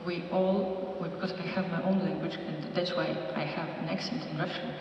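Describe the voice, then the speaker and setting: A panel guest speaking English with a foreign accent, earnest and steady. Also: mid-range voice, a little fast, slight background noise. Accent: non-native English. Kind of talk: panel discussion. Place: large event hall. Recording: dull echoey hall from far off.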